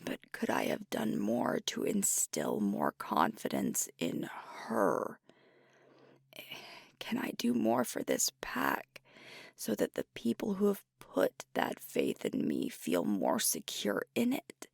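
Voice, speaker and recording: A woman playing a young, earnest, self-doubting alpha werewolf pack leader in an intimate ASMR roleplay, speaking softly and hesitantly in a breathy half-whisper. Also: very deep voice, wildly swooping pitch, no room sound, studio quality, heavily acted. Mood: doubting, troubled. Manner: hesitant, confiding, slow and reflective. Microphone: close mic, dry.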